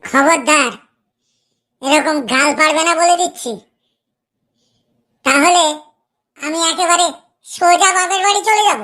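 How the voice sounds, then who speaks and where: A woman's voice: very high voice, a little slow, long pauses, pushed and bright, exaggerated voice. A woman voicing a nagging village housewife in a cartoon comedy, who scolds her husband and threatens to leave.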